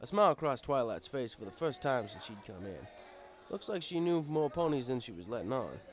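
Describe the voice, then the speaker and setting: A man's voice: medium pitch, sing-song, brisk. A man reading a first-person story as scripted narration, in a wry, conversational manner. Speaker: story reader.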